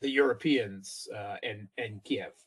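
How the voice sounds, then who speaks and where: A man's voice: on the low side, slow pace, slightly rough, no room sound. A man giving opinionated political commentary as an interview guest, often checking for agreement. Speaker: geopolitical analyst, interview guest.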